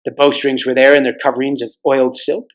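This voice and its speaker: A man's voice: medium pitch, little pitch movement, fast. A man reading a storybook aloud as narrator. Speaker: volunteer storybook reader.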